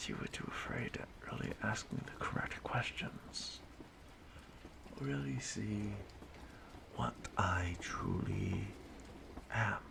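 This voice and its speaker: A man playing an ageless stalker in an audio roleplay, speaking to his prey in a hushed, halting, softly menacing way, often breathy and whispering. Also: on the low side, heavily acted. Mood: intrigued, menacing. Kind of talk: audio roleplay monologue addressed to the listener.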